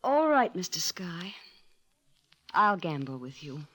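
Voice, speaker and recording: A woman's voice: on the low side, very animated, slow pace, a bit bright, heavily acted. A woman playing an earnest, virtuous young missionary in an old-time radio drama, intense and solemn. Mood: earnest challenge.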